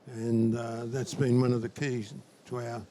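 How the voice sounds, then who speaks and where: An older man answering as a company founder in an interview, speaking in a halting, measured way. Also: mid-range voice, level tone, warm tone. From Australia. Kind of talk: conversational business interview. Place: interview lounge at a trade show. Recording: faint background ambience noise.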